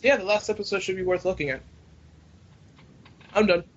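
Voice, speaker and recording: A man's voice: sits high, fairly quick, a little hiss or hum. A young adult man chatting casually as co-host of a fan podcast over a voice call. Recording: dull lo-fi internet call.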